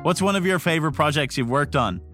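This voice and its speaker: A man's voice: fairly high pitch, lively intonation, fast, bright, forceful tone, faint music behind. A man hosting a podcast, reading scripted linking narration and putting questions to guests.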